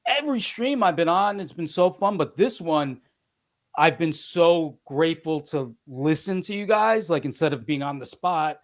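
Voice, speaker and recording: A man's voice: on the high side, animated, normal speed. A man guesting on a casual stream, enthusiastic and grateful, peppering his speech with dude. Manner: enthusiastic, says dude and hell yeah. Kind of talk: casual roundtable chat. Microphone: remote stream mic.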